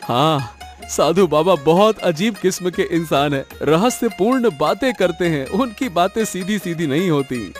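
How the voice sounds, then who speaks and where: Man voicing a high-pitched, good-hearted cartoon farmer husband. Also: unhurried, faint music behind. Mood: thoughtful. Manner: expressive, exclaiming. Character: good-hearted, hardworking papaya-farmer husband, easygoing and sentimental. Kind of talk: Hindi cartoon moral story dialogue.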